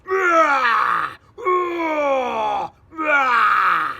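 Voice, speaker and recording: A man's voice: extremely high pitch, animated, extremely slow, vocal fry, sharp tone, raised voice, a little hiss or hum, voice pushed up, heavily acted. A man voicing a huge child-eating giant who bellows and begs in panic. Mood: roaring.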